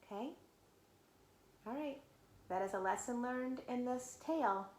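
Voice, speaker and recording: Teacher reading aloud to kids. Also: female voice, a little high, unhurried. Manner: animated, addresses children directly. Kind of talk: storybook read-aloud. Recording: roomy echo, faint background noise.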